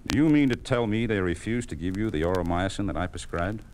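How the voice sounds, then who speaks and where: An American man playing a principled, steady physician in a 1950s radio drama, on an old recording. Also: deep voice, quick pace, background noise. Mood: concerned, professional. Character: principled, steady male physician in private practice.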